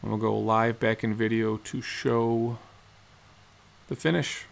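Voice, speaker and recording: A man in his forties, a guitar builder, calmly explaining each step of his work in a matter-of-fact how-to narration in his workshop. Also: on the low side, slow, creaky. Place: cluttered workshop indoors.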